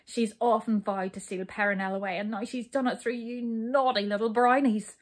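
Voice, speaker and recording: A woman's voice: on the high side, fairly even intonation, fairly quick, natural voice. A woman voicing an imperious, hot-tempered king in a children's story, stern and blustering. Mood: upset, grave.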